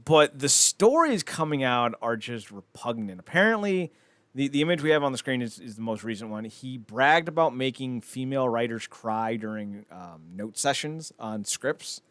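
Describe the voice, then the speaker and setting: A man's voice: mid-range voice, animated, normal speed, fairly bright tone, dry recording. A man chatting casually as a podcast host, rambling, opinionated and freely profane. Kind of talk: casual podcast chat about films and entertainment news.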